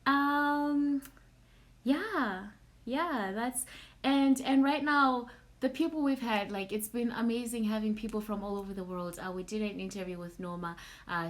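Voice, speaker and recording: Young woman, from Zimbabwe, average pitch, casual live host, halting, word repetitions, unscripted. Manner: informal, halting, repeats words while thinking. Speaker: platform host answering audience questions.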